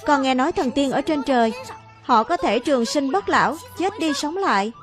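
A woman's voice: high-pitched, lively intonation, normal speed, soft background music. A woman voicing a restless, mischievous and boastful young boy hero in a Vietnamese cartoon dub, eager and pleading like a child.

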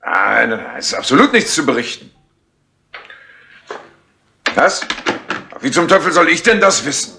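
An actor playing a patronising, irritable official with brisk authority in a 1960s German radio crime drama. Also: male voice, a little high, sing-song, creaky, bright, forceful tone, exaggerated voice. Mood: irritated. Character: irritable, patronising official running a secret mission. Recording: slightly noisy old radio tape.

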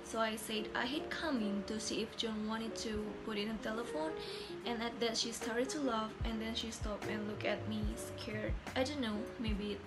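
A woman's voice: on the high side, fairly even intonation, normal speed, lightly acted. Young woman as earnest farmer witness, music behind. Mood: recounting. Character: farmer neighbour, a male witness recounting events earnestly. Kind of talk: amateur student drama performance.

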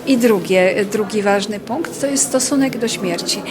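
A woman's voice: mid-range voice, normal speed, a crowd in the background. A woman interviewed at a festival, speaking earnestly and articulately with occasional fillers. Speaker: festival event host interviewed. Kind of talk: spontaneous street interview.

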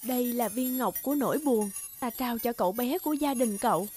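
A woman voicing a gentle, motherly fairy of sorrow in a cartoon, speaking tenderly and solemnly. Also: fairly high pitch, a little fast, soft background music, close to an everyday voice. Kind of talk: Vietnamese cartoon dubbing.

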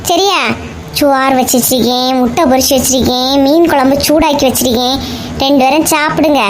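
A woman's voice: high-pitched, a little fast, slight background noise, close to an everyday voice. A woman voicing a bustling, chatty village mother in a comedy cartoon, with colloquial Kanyakumari Tamil dialect and a nagging manner. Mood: coaxing.

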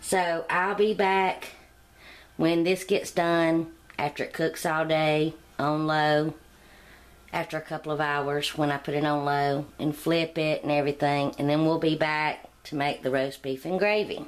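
A woman's voice: deep voice, level tone, unhurried. Chatty woman, homey kitchen talk. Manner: chatty, homey, rambling asides. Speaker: cooking channel host. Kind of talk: casual cooking demonstration. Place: home kitchen. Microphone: camera mic, speaking off camera.

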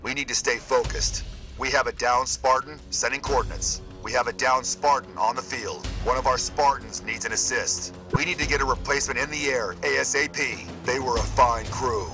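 An actor playing a brisk, confident military gunship pilot in a video game, speaking in clipped radio callouts. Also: male voice, mid-range voice, over music, natural voice, low-quality recording. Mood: alert. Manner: clipped, military, addressing superiors as sir.

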